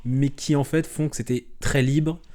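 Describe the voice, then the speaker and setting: A young French man chatting openly as an interview guest, relaxed and rambling, with frequent restarts and fillers. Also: mid-range voice, normal speed. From France. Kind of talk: casual conversational interview.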